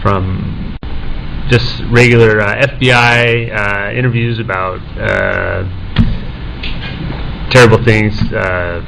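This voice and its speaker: A young man introducing himself on a conference panel as an assistant professor, talking in a halting way full of "uh" fillers and restarts. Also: fairly low pitch, fairly even intonation, gravelly, a bit bright, slight background noise, poor audio.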